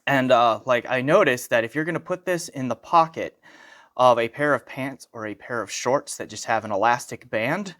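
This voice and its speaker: American guy doing a laid-back gear review, wanders into asides, fixes his words as he goes, mid pitch. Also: normal speed, fairly bright tone. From United States. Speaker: product reviewer. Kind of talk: casual hands-on gear review.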